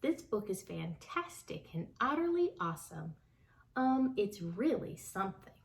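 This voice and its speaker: A woman reading a picture book aloud to children, speaking slowly and expressively, talking directly to the kids. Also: mid-range voice, gravelly. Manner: warm, slow and expressive, addressing kids. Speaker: storytime reader.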